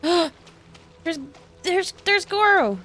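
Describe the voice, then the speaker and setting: A woman's voice: very high-pitched, lively intonation, extremely slow, fairly bright tone. A woman game streamer giving casual live commentary in an informal, playful, exclamatory way. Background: game music.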